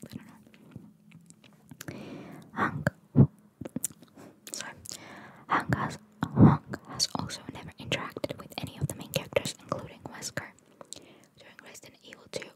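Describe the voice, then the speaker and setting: A woman whispering ASMR-style as she reads out trivia and adds informal, musing asides. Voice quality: soft, unvoiced whisper. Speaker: ASMR content creator. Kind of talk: whispered ASMR reading of trivia with casual commentary.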